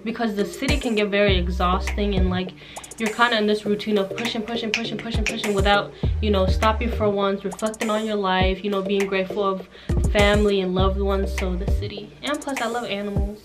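A woman's voice: medium pitch, steady tone, fairly quick, music in the background. A 21-year-old woman from Somaliland whose first language is Somali, chatting playfully and sassily as she answers get-to-know-me questions on camera in a small room. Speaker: beauty vlogger answering questions. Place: small dim room indoors.

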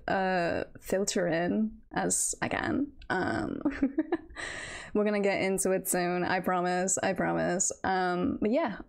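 Nervous, giggly woman streamer, slow and high-pitched. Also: creaky, a little mellow. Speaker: streamer hosting a first livestream. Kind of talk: casual livestream chat.

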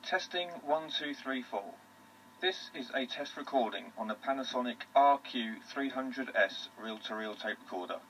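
Man, middle pitch, steady deliberate reading, handheld tape recorder mic, faint hiss. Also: rough recording. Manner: steady, deliberate read-out of technical details.

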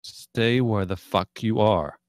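A man's voice: fairly low pitch, slow pace, no room sound, studio quality. A man reading the part of a cocky, menacing, sarcastic young drug dealer in a screenplay table read. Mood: aggressive. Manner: sarcastic, foul-mouthed, taunting.

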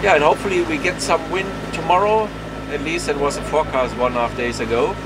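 A middle-aged man, a German native speaker, chatting casually to camera from a sailboat cockpit at sea, with plain wording and frequent pauses. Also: on the high side. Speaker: solo sailor vlogger. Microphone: camera mic at close range. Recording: wind and water noise at sea.

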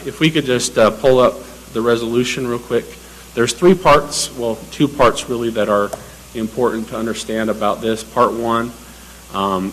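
A man's voice: on the low side, fairly bright tone, slight background noise, low-quality recording. A man presenting a resolution at a city council meeting, methodical and hesitant with many fillers. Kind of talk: formal public meeting presentation.

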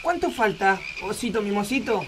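Excitable high-pitched little puppet boy. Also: normal speed, a little hiss or hum. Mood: eager. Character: whiny eager little wooden puppet boy. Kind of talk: comic radio play acting.

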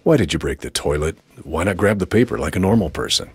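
An actor playing a stern, skeptical military officer in a video game, questioning a prisoner in a dry, formal way. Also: male voice, low-pitched, animated, fast, creaky, husky, heavily acted.

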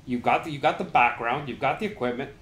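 A man's voice: medium pitch, fast, a bit bright. A middle-aged man speaks as an interview guest in a conversational, explanatory way, with some emphasis. The room around him echoes, and there is noise behind his voice. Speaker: company founder, interview guest. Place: small indoor listening room, seated. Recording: roomy echo, clear background noise.

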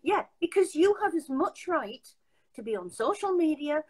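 A woman's voice: high voice, sing-song, normal speed, a little mellow. An older woman hosting a casual chat over a video call from her home, emphatic and full of restarts. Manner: chatty, emphatic, many restarts. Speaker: host. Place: home room.

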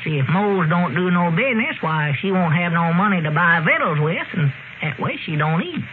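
An old country storekeeper with a high voice and a rural Southern drawl. He rambles in a folksy, excitable way. It sounds like a narrow, noisy vintage radio comedy. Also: normal speed. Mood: folksy, earnest. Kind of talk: 1930s-40s radio comedy acting. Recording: scratchy, narrow-band old radio recording.

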